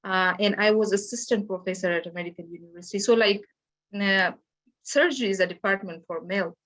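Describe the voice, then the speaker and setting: A woman from Afghanistan with an Afghan accent, telling her own story as a panelist in halting, simply phrased English. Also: mid-range voice, fairly bright tone. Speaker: panelist sharing personal experience. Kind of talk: personal account on a virtual panel. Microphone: video call. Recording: dull, lo-fi online call audio.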